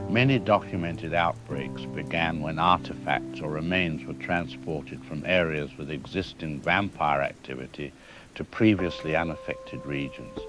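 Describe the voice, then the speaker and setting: A man playing a grave academic historian in a radio drama, speaking in a formal, measured, lecturing way. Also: rumbling low, rough recording. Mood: concerned, grave. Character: grave male academic historian expert on vampire folklore. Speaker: expert interview guest.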